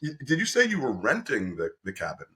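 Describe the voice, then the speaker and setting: A man hosting an interview podcast, energetic and effusive, often restarting his sentences. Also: medium pitch, a little fast. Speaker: podcast host and company representative.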